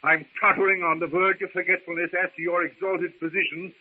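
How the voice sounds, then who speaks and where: An actor playing a prim, wordy old academic in a 1930s radio serial, with pompous, formal diction and an easily offended temper. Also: male voice, high-pitched, level tone, brisk, slightly rough. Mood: indignant. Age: older. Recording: noisy, tinny old radio recording.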